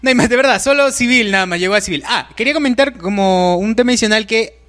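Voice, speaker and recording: A man's voice: high-pitched, fast, a bit bright. A man with a Peruvian accent hosting a casual podcast, joking and reading viewer comments aloud. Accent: Peruvian Spanish. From Peru. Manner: jokey, laughs often, reads viewer comments aloud. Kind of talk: casual chat.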